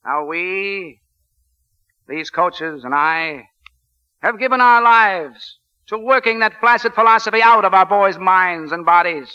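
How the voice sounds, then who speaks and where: An actor playing a forceful, earnest American college football coach in 1940s radio drama, speaking in an emphatic, oratorical way. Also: male voice, high voice, unhurried, clean tone, dull audio, exaggerated voice. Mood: impassioned. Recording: vintage 1940s radio broadcast.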